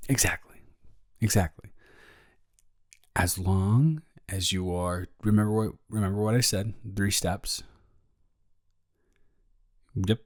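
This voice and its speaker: A young man playing a caring, flustered roommate in an intimate roleplay audio, rambling and stammering as he gently reassures a friend. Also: on the low side, no room sound, studio quality. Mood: gentle, reassuring. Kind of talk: improvised intimate roleplay audio, one-sided conversation.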